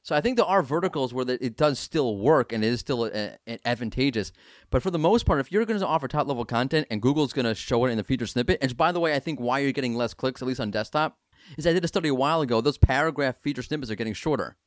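A man hosting a podcast, talking casually and emphatically in quick bursts. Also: medium pitch, expressive, dry recording, clean studio sound. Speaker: podcast host.

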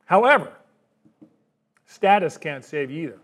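A man with a high-pitched voice delivers a sermon. His preaching is emphatic and keeps turning to the congregation with rhetorical questions. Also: animated, a little slow, fairly bright tone. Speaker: pastor.

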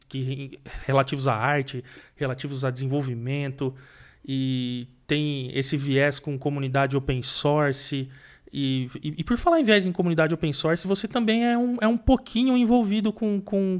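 A man with a Brazilian Portuguese accent hosting a podcast, chatting warmly, laughing often and joking with his guest. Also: medium pitch. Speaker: podcast host. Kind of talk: casual interview chat.

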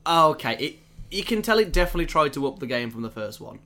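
A man with a cold hosting a casual film podcast, sounding hoarse and chatting with quick asides and restarts. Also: a little high, big pitch swings, bright, forceful tone. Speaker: podcast host.

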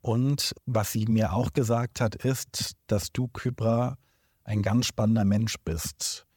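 A man hosting a podcast interview indoors, speaking in a measured, formal way as he introduces the guest. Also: on the low side, steady tone, darkish tone, dry recording, studio quality. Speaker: podcast host. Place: indoor room in Berlin.

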